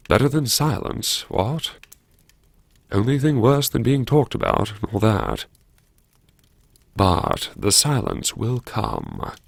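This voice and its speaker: Casting: male actor as wry, haunted vice-chancellor for audiobook monologue; low-pitched, expressive swings, brooding self-address. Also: vocal fry, dry recording, exaggerated voice. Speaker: audiobook performer. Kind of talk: dramatic first-person interior monologue.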